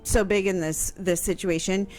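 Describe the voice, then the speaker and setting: A woman's voice: mid-range voice, steady tone, moderate pace, darkish tone, soft background music. A woman explaining history in casual conversation on a radio show, piecing her sentences together hesitantly. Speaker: history show host answering questions.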